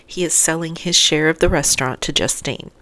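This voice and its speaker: A woman's voice: deep voice, brisk, sharp tone. A woman narrating a scripted episode recap as host, adding chatty asides to listeners.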